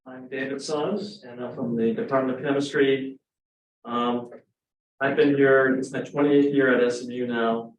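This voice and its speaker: A man's voice: mid-range voice, fairly even intonation, normal speed. Candid, modest man in an echoey room. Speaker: chemistry professor. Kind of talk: casual self-introduction. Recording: echoey room from far off, faint background noise.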